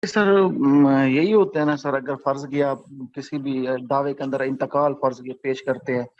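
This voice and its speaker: A man's voice: medium pitch, steady tone, moderate pace, darkish tone. A man with a Pakistani accent, a student putting questions to a teacher in class, on a dull recording.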